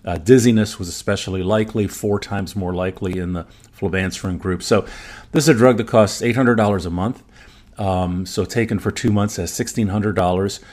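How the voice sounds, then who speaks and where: A man who is a native German speaker, talking through medical evidence as a podcast guest in a measured, explanatory and careful way. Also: on the low side, fairly even intonation, a little mellow. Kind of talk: informal evidence review in conversation.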